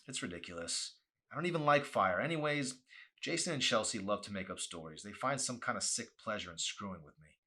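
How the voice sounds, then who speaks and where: A man plays a smitten teenage boy in an audiobook. He fumbles hesitantly, awkward and quick to get defensive, in a bright voice. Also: lowish voice, expressive.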